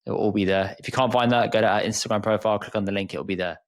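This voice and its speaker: A man hosting a casual podcast, relaxed and quick to laugh. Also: lowish voice. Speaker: podcast host.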